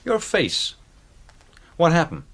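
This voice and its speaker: Brash, wildly animated American showman. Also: fairly high pitch, slow, slightly rough, heavily acted. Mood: curious, excited. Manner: slangy, animated. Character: brash American male knife-thrower, excitable and slangy. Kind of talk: radio drama acting.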